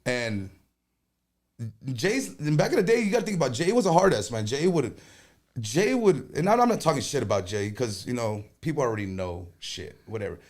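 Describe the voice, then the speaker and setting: Animated man in chat. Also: mid-range voice. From Texas. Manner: animated storyteller, quick bursts, joking. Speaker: conjunto band leader and singer, guest. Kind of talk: casual interview chat.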